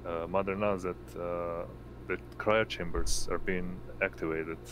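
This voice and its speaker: A man narrating a tabletop roleplaying game as game master, halting as he searches for words. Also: sits low, steady tone, noisy background. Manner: halting, often pausing to find words.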